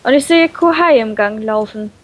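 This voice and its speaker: A woman reading a visual novel aloud in an animated, playful way, breaking off into her own comments. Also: on the high side, moderate pace. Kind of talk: expressive let's play read-aloud.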